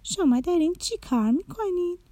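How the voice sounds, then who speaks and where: A woman's voice: extremely high pitch, lively intonation, warm tone, no echo, voice pushed up. A woman voicing a curious, wide-eyed little girl in a children's story, asking lots of questions.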